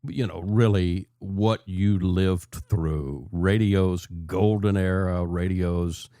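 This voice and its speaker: A man's voice: sits low, steady tone, slow pace, mellow, clean tone, no echo, studio quality. A man hosting an interview show, asking admiring questions conversationally and feeling his way toward the phrasing.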